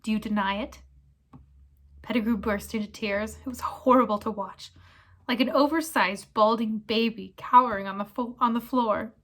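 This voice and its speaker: A woman's voice: on the high side, moderate pace, a bit bright. A woman reading a chapter book aloud in dramatic storybook narration. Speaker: reader.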